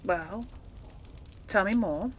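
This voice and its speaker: A woman's voice: mid-range voice, slow pace, slight background noise. A woman hosting a casual role-play game playthrough, thinking aloud and hesitating as she goes. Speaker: video game player and series host.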